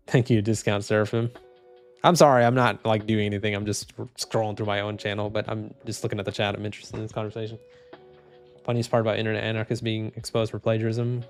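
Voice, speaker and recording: Chill guy streamer, lowish voice, rambly, faint music under it. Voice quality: low, even, unhurried voice. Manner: laid-back, rambling, many fillers and restarts. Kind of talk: casual livestream chat reading comments.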